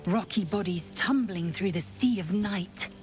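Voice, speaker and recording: A woman's voice: medium pitch, measured pace, darkish tone, faint music behind, close to an everyday voice. An actress playing a haughty, stern alien warrior woman in a fantasy game, with clipped, imperious, formal delivery. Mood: haughty, brooding.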